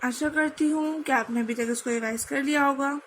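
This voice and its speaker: A young Indian woman teaching a French lesson, mixing Hindi and English and slowly spelling letters out to drill pronunciation. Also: sits high, steady tone, a bit bright, slight background noise.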